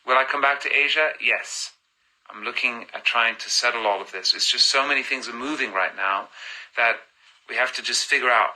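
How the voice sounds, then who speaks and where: A man in his thirties with a very bright, sharp-edged voice pitched in an ordinary middle range. He chats loosely into a phone, reading out fan questions and answering them, sliding from one language to another without pause. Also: low-quality recording. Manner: switches between languages mid-answer, reads comments then replies. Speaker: singer answering fan comments on a live stream. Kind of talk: casual live-stream chat, reading fan questions aloud.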